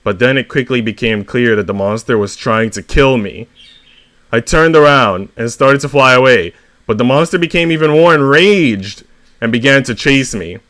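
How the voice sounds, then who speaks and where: A man's voice: medium pitch, animated, normal speed, fairly bright tone, lightly acted. A man voicing an indignant, melodramatic honeybee, a devoted father, in a dramatic, aggrieved story reading.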